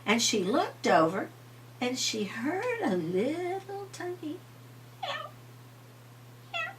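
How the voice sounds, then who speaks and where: Grandma lady doing storytime, unhurried, dramatic, voice goes up and down a lot. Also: on the high side, pushed and bright, far from the mic, slight background noise. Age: older. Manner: homely, dramatic, repeats refrains with emphasis. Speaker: grandmother telling bedtime stories. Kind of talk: animated storytelling for children.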